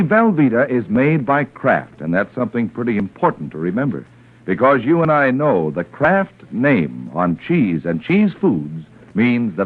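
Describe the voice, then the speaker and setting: A man's voice: medium pitch, slightly rough. A man announcing in 1940s radio style, with an emphatic, salesmanlike delivery. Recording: tinny old radio recording, music behind.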